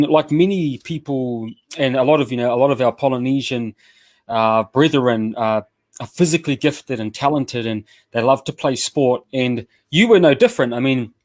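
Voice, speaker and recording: A man's voice: medium pitch, no echo. A man with a New Zealand accent hosting a casual radio chat show, upbeat and loose with slang, often restarting his sentences. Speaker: show host.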